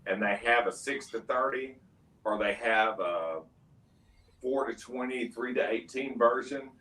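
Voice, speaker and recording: A man's voice: mid-range voice, measured pace, fairly bright tone. A man who is a guest on a live stream talks casually and agreeably with the host. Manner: easygoing, agreeable. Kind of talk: casual chat. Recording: roomy, distant mic.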